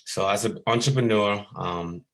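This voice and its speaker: A man from the Bahamas in his thirties, a basketball skills coach, talking casually and haltingly over a video call with many ums and restarts. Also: on the low side.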